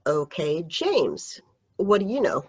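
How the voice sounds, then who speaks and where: A woman voicing a strict high school teacher, stern and commanding, over dull video call audio. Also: big pitch swings, takes it slowly, husky, heavily acted. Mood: firm.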